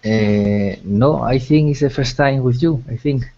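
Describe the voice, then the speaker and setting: A man's voice: medium pitch, unhurried, mellow. A male student with a Spanish accent from Madrid, answering politely and hesitantly in an online class. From Madrid, Spain. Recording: noisy lo-fi call audio.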